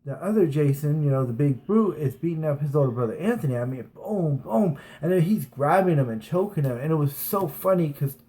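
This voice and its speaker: Rambling storyteller man, slightly high voice. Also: animated, brisk, few pauses, dark tone, low-quality recording. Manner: rambling, frequent restarts and fillers, laughs while telling. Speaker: vlogger. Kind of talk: casual storytelling.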